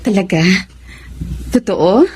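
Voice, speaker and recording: Young sweetheart, coy, playfully protesting, husky, radio drama. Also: female voice, mid-range voice, expressive, takes it slowly, mellow.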